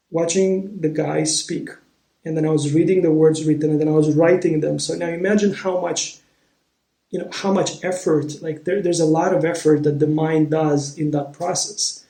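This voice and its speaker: A man shares a personal story in a reflective, unhurried way, often pausing to find the right word. His voice sits a little high but sounds soft and mellow. The room around him rings with echo. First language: Romanian. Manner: thoughtful, searching for words. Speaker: vlogger. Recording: roomy echo.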